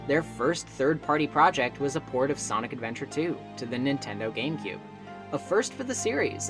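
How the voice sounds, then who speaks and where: A man delivering scripted video essay narration in an emphatic, conversational and wry manner. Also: a little high. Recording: music playing behind the voice. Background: background music.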